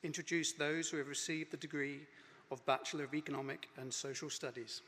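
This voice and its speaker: A man's voice: fairly high pitch, fairly quick. A man, head of a business school, formally reading out graduates' names at a ceremony. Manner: formal, steady name reading. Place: graduation hall with audience. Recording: reverberant hall with audience noise.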